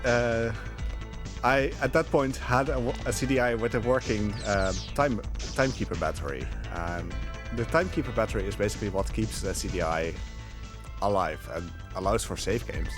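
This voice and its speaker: A man giving casual live commentary as a speedrunner, talking in a rambling, halting way. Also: fairly low pitch. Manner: rambling, halting, chuckling asides. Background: game music.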